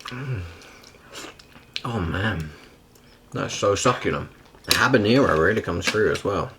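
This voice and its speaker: A young man tasting a friend's cooking, relaxed and slangy, full of praise for the food. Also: lowish voice, unhurried, background noise. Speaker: taster. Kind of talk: casual food tasting chat.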